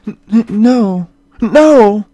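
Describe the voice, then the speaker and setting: A woman voicing an excitable, stammering teenage girl in a video game, easily shocked and indignant. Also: mid-range voice, drawn-out words. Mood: distraught. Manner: stammering, exclamatory. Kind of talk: voiced visual novel game dialogue.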